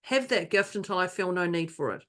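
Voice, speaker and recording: A woman co-hosting a podcast who tells stories in an animated way, with frequent restarts and repeated words. She slips into other people's voices when quoting them, in plain casual chat. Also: fairly low pitch, fairly quick. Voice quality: not calming, by her own account. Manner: animated anecdotes, many restarts, acts out others' lines. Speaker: podcast co-host.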